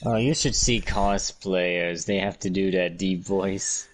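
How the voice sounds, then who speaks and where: A man's voice: sits low, steady tone, slow pace. A man in casual chat with a friend, answering with short, dry counterpoints.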